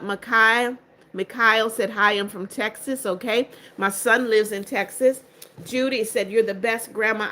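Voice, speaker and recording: An older woman hosting a live stream, greeting viewers one by one as she reads their comments. Her voice is bright and clear, and she turns firm and strict when warning people who spam her chat. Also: medium pitch. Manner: strict, firm about blocking spammers. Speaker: livestream host.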